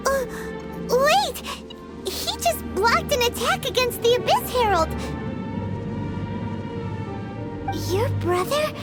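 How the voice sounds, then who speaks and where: A woman voicing a tiny, childlike, excitable fairy companion in a video game, with a chirpy, exclamatory delivery. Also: extremely high pitch, unhurried, exaggerated voice. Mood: startled, anxious. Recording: loud music under the voice.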